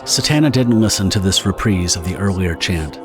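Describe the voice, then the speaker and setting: A man narrating a scripted dramatic story in a measured, theatrical storytelling manner. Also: low voice, animated. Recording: music under the narration.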